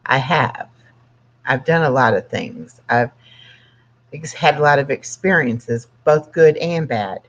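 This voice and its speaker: Sixty-year-old livestream host, slow pace, halting plainspoken delivery, casual chat and read-aloud, dull lo-fi sound. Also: mid-range voice, animated, a bit bright.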